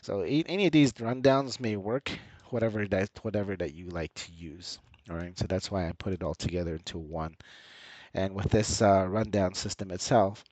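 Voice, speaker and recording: Low-voiced man with a slight cold. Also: normal speed, darkish tone, dry recording. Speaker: channel host and tutorial presenter. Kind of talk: casual screen-share tutorial narration.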